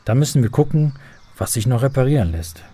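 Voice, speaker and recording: A man's voice: medium pitch, lively intonation, fairly quick, warm tone, slightly rough, no room sound. A man playing an earnest believer who patiently explains faith to children in a scripted radio drama.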